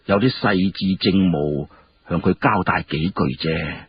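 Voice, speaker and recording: Man acting an aged, deliberate minister, low and slow. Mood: measured, cautious. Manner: slow, deliberate, weighing each phrase. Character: aged senior minister, measured, tactful, deferential to the emperor. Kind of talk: Cantonese historical storytelling dialogue. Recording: muffled, clearly noisy radio-like recording.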